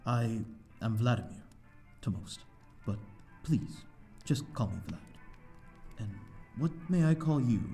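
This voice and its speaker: A man's voice: on the low side, dark tone. A man playing a courteous, lonely vampire host in an ASMR audio roleplay, speaking in a formal, flowery, slightly flustered and hesitant way. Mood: courtly, wistful. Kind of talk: ASMR audio roleplay, scripted character acting.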